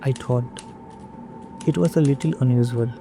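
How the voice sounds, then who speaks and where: An actor playing an uneasy, watchful night manager in an audio drama, with measured, brooding delivery. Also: male voice, mid-range voice, background noise, natural voice. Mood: reflective. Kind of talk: audio drama narration and dialogue.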